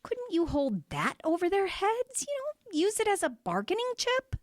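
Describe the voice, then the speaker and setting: An actress playing Santa's caring, gently scolding older wife in a radio comedy drama. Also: female voice, very high-pitched, huge pitch swings, moderate pace, no room sound, clean studio sound, heavily acted. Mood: worried, coaxing.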